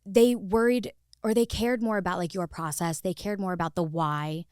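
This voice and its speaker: Female interview guest, slightly low voice, conversational storyteller, dry recording. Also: steady tone, normal speed, darkish tone, clear, resonant tone, no echo. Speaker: podcast guest, actor turned director.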